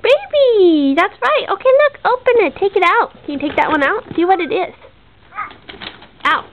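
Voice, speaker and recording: Lady with a high lively voice cheering a baby on, thin noisy home video sound. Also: normal speed, phone-quality sound. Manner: encouraging, sing-song coaxing. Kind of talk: casual baby-directed chat. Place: carpeted room at home.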